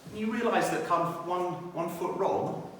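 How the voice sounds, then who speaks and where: A man's voice: high-pitched, moderate pace, fairly bright tone. British man testifying in an echoey church. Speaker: church speaker giving testimony. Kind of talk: personal testimony and scripture reading. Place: inside a church. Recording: echoey church hall, distant, faint noise.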